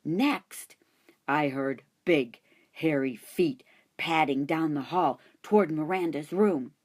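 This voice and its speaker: A woman reading a children's chapter book aloud in an expressive storytelling manner. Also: low voice, slow. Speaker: audiobook reader. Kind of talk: children's audiobook narration.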